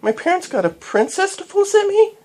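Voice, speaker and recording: A performer voicing an excitable five-year-old girl pony in a squeaky, gushing, eager storybook voice. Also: a little slow, breathy edge.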